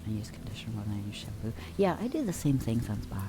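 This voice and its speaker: A young adult woman streamer chatting softly with her viewers, drifting in and out of whispers, relaxed and affectionate. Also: rumbling low, fast, background noise. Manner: relaxed, affectionate, reads and answers chat; drifts between soft talk and whispers. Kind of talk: soft-spoken ASMR chat with viewers.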